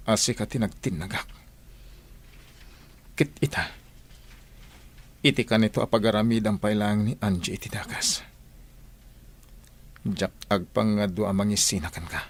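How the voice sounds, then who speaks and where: A man acting a bitter, lovesick man with a husky voice and an Ilocano accent, brooding intensely in a radio drama. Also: lowish voice. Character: bitter lovesick man who lost his sweetheart.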